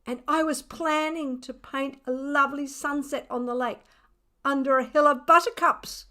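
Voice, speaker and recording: A woman voicing an exasperated, huffy lady amateur painter in a children's storybook, with dramatic, drawn-out indignation. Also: high voice, fairly even intonation, pushed and bright, voice pushed up. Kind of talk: children's storybook character reading.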